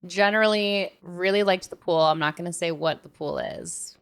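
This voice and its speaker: A woman co-hosting a podcast, chatting in an informal, slangy way and thinking out loud as she talks. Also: on the low side, normal speed, smooth. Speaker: podcast co-host. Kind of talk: casual podcast chat.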